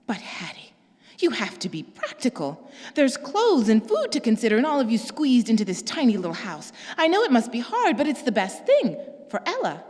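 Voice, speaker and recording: A woman reading a novel aloud, voicing a proud, pushy older sister who speaks in a high, excitable, pleading voice. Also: normal speed. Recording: long reverb.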